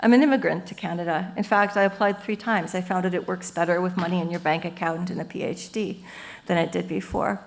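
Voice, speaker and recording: A woman professor giving an academic lecture at a symposium, lively and earnest, explaining ideas through everyday metaphors. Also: on the low side, fairly quick, vocal fry. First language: English. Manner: lively and earnest, with frequent "you know" and "um".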